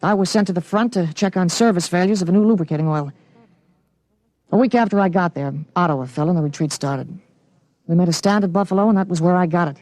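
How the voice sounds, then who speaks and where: Guy playing a brainy 13 yr old boy in an old radio play, high voice, matter-of-fact, close dry sound. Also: quick pace, a little mellow, no echo, lightly acted, low-quality recording. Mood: grave, recounting. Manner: articulate, matter-of-fact, grown-up vocabulary. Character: precocious, self-assured thirteen-year-old boy with an adult mind. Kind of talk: old-time radio drama acting.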